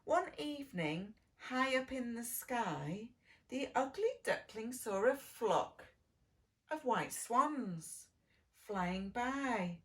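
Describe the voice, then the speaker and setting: Expressive, slow-paced woman storyteller. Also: fairly high pitch. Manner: sing-song, dramatic pauses, simple questions to young listeners. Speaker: preschool early years lead reading to children. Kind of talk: expressive children's storybook reading. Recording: distant microphone.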